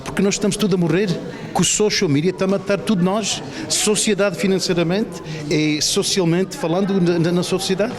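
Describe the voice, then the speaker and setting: Higher-voiced Canadian-born man, emphatic and repetitive. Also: steady tone. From Toronto, Canada. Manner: emphatic, repetitive, halting search for words. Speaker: television producer being interviewed. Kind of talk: spontaneous interview answers. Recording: busy crowd chatter around the speaker. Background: crowd of people talking.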